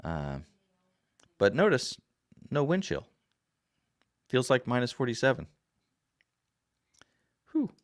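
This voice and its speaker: A man hosting a casual livestream and reading viewer comments aloud, his voice raspy after a sore throat, rambling in a folksy way with many fillers. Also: mid-range voice, huge pitch swings, slow, long pauses, dry recording, studio quality. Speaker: livestream host. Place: home desk. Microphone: desk camera.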